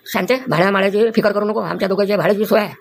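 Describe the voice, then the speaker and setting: A woman's voice: mid-range voice, steady tone, very fast, vocal fry. A woman voicing a nosy, easygoing village man in a comedy cartoon, with a familiar manner and a Varhadi Marathi accent. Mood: reassuring. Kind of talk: exaggerated cartoon comedy dialogue.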